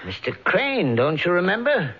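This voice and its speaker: A man's voice: sits high, huge pitch swings, moderate pace, husky, exaggerated voice. A man playing a crazed night watchman, insistent and eerily matter-of-fact, in 1940s radio acting style on a muffled vintage recording.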